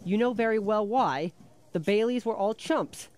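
A man's voice: high voice, darkish tone, close to an everyday voice. An actor playing a wry, frank rent collector who slyly needles his boss in a radio drama. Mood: sardonic, needling. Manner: sardonic, fast-patter monologue.

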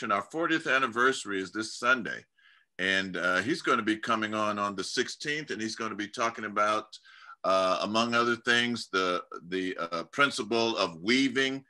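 Bright-toned middle-aged man hosting a video call. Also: mid-range voice. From California. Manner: relaxed, rambling, many restarts and fillers. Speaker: host of church community video-call talk. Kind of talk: casual interview chat. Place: home study lined with bookshelves. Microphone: video call webcam.

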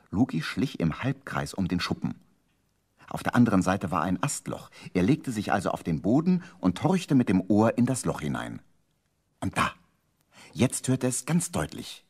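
An actor as the storyteller of a children's radio play, narrating with playful suspense in a husky voice. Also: male voice, on the low side, exaggerated voice.

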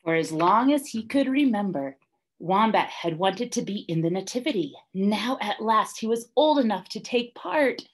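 A young woman reading a children's story aloud like a teacher, deliberately and with dramatic emphasis. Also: mid-range voice, animated, husky. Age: young adult. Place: small classroom, indoors, by a whiteboard.

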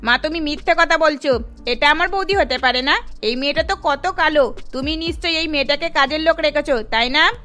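A woman's voice: high voice, fairly quick, pushed and bright. A woman playing a haughty, mocking young sister-in-law in a cartoon story, snide in manner, with music behind her. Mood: scornful. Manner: snide, disdainful. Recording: music under the voice.

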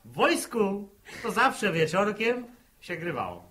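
An actor playing a playful young farm son back from the army, teasing and mock-formal, in an old 1960s radio drama. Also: male voice, high voice, sing-song, slow, a bit bright, heavily acted.

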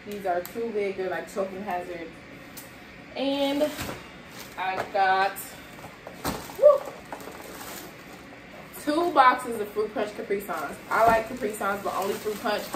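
Young woman, casual vlog chat, says y'all, tired, middle pitch, echoey kitchen, background noise. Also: animated, slow pace, long pauses. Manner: chatty, addresses viewers as y'all, moving around while unpacking bags. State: tired and sweaty. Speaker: vlogger showing a grocery haul. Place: small apartment kitchen, indoors. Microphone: camera at a distance across the room.

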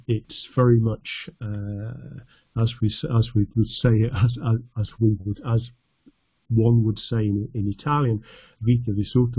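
A middle-aged man gives an online lesson over slides, speaking slowly and unhurriedly in a fairly low, husky voice. His delivery is halting and conversational, with a dry, self-deprecating humour. The track is dry and slightly muffled. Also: no echo. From North Yorkshire, England. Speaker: English teacher.

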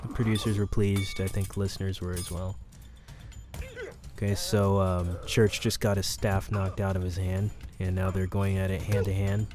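Low-voiced man giving casual commentary. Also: little pitch movement, moderate pace. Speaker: director of an audio drama. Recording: music and drama audio behind. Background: audio drama playing with music.

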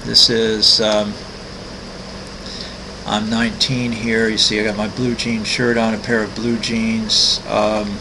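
A man in his sixties, an artist walking through his website, talking casually with many ums, pauses and restarts. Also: on the low side, completely flat delivery, vocal fry, background noise. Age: 60s. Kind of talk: casual screen-recorded walkthrough.

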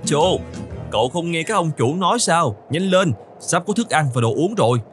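A man voicing a bossy camel companion in an animated fairy tale, scolding and hurrying a friend along. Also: a little high, normal speed, faint music behind, natural voice. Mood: nagging.

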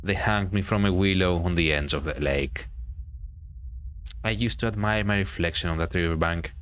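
A man's voice: sits low, normal speed, a bit bright, clear, resonant tone. A man reading the part of a vain, bitter ghost of a hanged girl in a horror visual novel, with dramatic storytelling. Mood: brooding.

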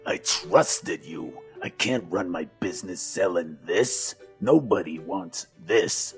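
A man playing a gruff, scornful Prohibition-era crime boss in an audio drama, commanding and indignant. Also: low voice, animated, slow, faint music behind, exaggerated voice. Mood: disdainful.

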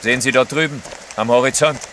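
An actor playing a serious, assured and blunt travelling guide in an audio drama. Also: male voice, mid-range voice, fast talker, a bit bright, slight background noise, lightly acted. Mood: grave. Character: grave, watchful male guide, reassuring yet blunt. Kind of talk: German radio play acting.

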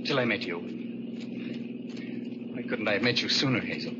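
A man's voice: lowish voice, normal speed, close to an everyday voice. An actor playing a doomed, desperate man on the run in 1940s radio suspense style. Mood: somber, tender. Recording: muffled, very noisy 1940s radio recording.

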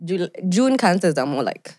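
A woman's voice: fairly low pitch, big pitch swings, normal speed, a little mellow, no room sound, clean studio sound. A young woman from Kenya, a guest actress, chatting playfully on a podcast, switching between English and Swahili. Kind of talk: casual podcast chat. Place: podcast studio.

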